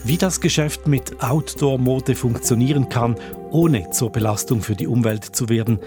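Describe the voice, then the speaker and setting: Male presenter over clear music. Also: medium pitch, normal speed. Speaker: show host. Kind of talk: scripted radio presenting.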